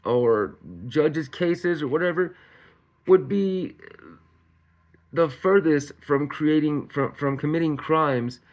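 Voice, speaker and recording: A man's voice: on the high side, slow. A man hosting a commentary channel, reading out news in a casual way and often tripping over words and correcting himself.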